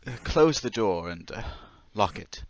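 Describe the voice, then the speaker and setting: A man's voice: lowish voice, expressive, a little slow. A man playing a meek, lonely, trembling character who hesitates and sighs, in an old-time radio drama. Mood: anguished. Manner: hesitant, stammering, sighing. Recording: loud noise and clicks.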